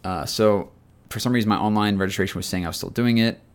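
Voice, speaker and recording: Man, deep and scratchy-voiced, casual rambling co-host type. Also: steady tone, quick pace, smooth. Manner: rambling, chatty storytelling. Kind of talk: casual chat.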